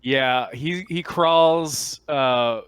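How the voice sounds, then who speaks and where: A man running a tabletop roleplaying game, describing the action in a halting, matter-of-fact way. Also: medium pitch, a bit bright, clean tone, no room sound. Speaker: game master.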